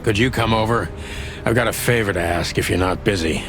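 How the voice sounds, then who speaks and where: A hardboiled, weary detective acted by a man in a noir video game. The voice sits very low and stays laconic and dry, telling the story wryly over clearly audible music. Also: fairly quick, natural voice. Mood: wry, courteous. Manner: deadpan, wry, laconic. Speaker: detective and narrator. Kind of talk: scripted noir narration and game dialogue. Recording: music behind the voice.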